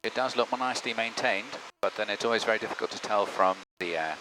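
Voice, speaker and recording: A man speaking as a pilot on a headset, in a measured, polite, procedural way. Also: lowish voice. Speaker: pilot, flight vlogger. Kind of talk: radio calls and casual cockpit commentary. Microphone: aviation headset. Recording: noisy light aircraft cockpit. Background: engine drone of a light aircraft.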